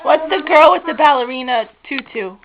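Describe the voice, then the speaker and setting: A woman's voice: high voice, normal speed, lo-fi sound. A woman, a mother, in casual family chat, coaxing a small child with simple questions. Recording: home video with background noise.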